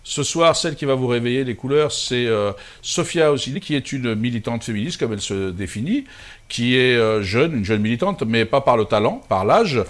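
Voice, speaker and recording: A man's voice: medium pitch, expressive, moderate pace. A man hosting an interview show, welcoming viewers and asking questions with frequent hesitations and fillers. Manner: hesitant, many fillers, friendly. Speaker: show host.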